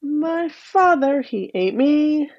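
A woman voicing a magical singing bird in a slow, chanted sing-song. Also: high voice, lively intonation, a little mellow, muffled recording, pitched above the performer's own voice, heavily acted. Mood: chanting.

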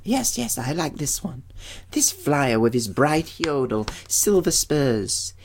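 A man voicing playful, eager young nymphs in a storybook, with breathy, lilting falsetto and gushing, repetitive speech. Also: sing-song, slow pace, a little hiss or hum, heavily acted. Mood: admiring, gossipy. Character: playful, eager young nymph sisters, female, admiring and amorous.

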